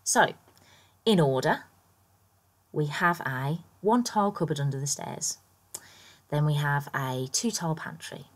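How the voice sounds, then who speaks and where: Woman, low voice, croaky just-woken-up tone, chatty, rambling with ums, casual game voiceover. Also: a little slow, long pauses. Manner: chatty and rambling, lots of ums and little laughs. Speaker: Sims builder YouTuber.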